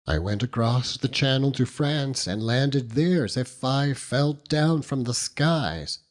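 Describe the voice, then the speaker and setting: A man's voice: medium pitch, lively intonation, takes it slowly. A man voicing a humble, devoted old fisherman with speech, earnest and plain-spoken, for an audiobook. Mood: grave, earnest. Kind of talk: audiobook character reading.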